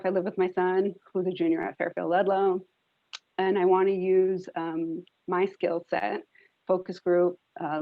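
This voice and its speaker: Phone-like audio, low-voiced American woman. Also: no room sound. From Rhode Island, United States. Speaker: task force member. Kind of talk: informal self-introduction in a meeting. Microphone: video call. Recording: muffled, phone-like call audio.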